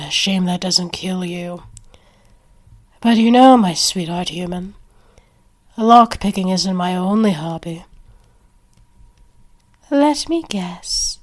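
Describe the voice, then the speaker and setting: Slow-paced woman acting ASMR roleplay. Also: lowish voice, lively intonation.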